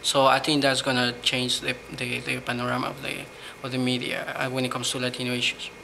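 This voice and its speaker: A man from Peru with a Peruvian Spanish accent, answering interview questions in earnest, measured English with frequent ums. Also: mid-range voice, monotone, gravelly, bright, forceful tone, slight background noise, low-quality recording. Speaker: blogger, interview guest.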